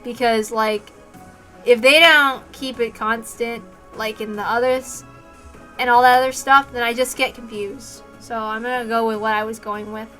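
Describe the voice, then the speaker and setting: Bright, higher-voiced woman reading aloud. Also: fairly even intonation, moderate pace, soft background music, rough recording. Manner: informal, self-correcting. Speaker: reader of a visual novel. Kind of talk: read-aloud narration with casual asides.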